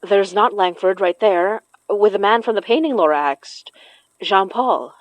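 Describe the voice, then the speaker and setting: A woman's voice: medium pitch, unhurried, no room sound, natural voice, rough recording. A woman acting in an audio horror drama as an earnest, knowing spirit medium who blames herself. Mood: puzzled, intent. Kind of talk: audio fiction podcast acting.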